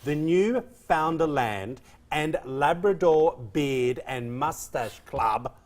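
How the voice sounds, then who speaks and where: A woman with a soft Australian accent co-hosting a casual daytime talk show, chatty and quick to laugh, stretching out words for effect. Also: sits low, lively intonation. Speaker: co-host. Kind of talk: casual chat.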